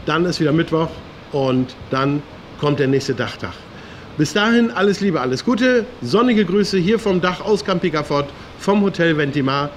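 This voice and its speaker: A middle-aged man hosts a casual video update in a voice that sits a bit high for a man. He is chatty and speaks to viewers like familiar friends. He is recorded in the open air, with faint ambient noise around him. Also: normal speed. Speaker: vlog host. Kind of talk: casual chat to camera, weekly local news update. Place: open-air hotel roof terrace by the sea.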